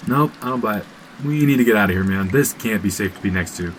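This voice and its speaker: Man playing an anxious, animated young guy. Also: fairly low pitch, fast, slight background noise, lightly acted, rough recording. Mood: uneasy. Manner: agitated, sardonic, slangy. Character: anxious, sarcastic young man, jumpy and exasperated. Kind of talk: naturalistic horror audio drama dialogue.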